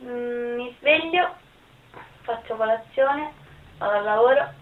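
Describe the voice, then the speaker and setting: A woman's voice: on the high side, slow. A woman in her early twenties giving casual answers in an interview. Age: 20s. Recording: roomy, phone-like sound with faint noise.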